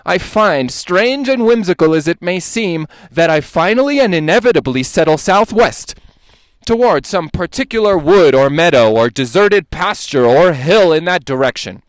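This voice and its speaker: A man performing a dramatic reading of an old lecture, with deliberate, emphatic, oratorical phrasing. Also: fairly high pitch, animated, dry recording. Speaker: dramatic reader.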